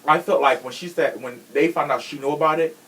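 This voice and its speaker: A man's voice: on the high side, fairly quick, a bit bright, low-quality recording. A man hosting a podcast in casual true crime chat, sassy and blunt, swearing freely. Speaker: podcast host.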